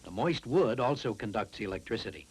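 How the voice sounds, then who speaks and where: A man reads scripted science narration for a classroom film, explaining in a deliberate, instructive way. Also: lowish voice, animated, breathy edge, rough recording.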